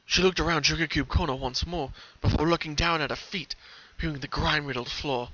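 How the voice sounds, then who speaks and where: A man's voice: fairly high pitch, fairly even intonation, brisk, sharp tone. A man reading a story aloud as a dramatic scripted narration, on a lo-fi home recording. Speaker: fan fiction narrator.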